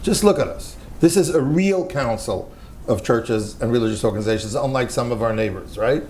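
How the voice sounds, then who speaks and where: An older man with an American accent talks on a panel discussion, earnest and emphatic, often repeating a phrase to drive it home. His voice sits at an ordinary middle pitch. A faint hiss runs under the recording. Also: animated, fairly quick, darkish tone. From United States.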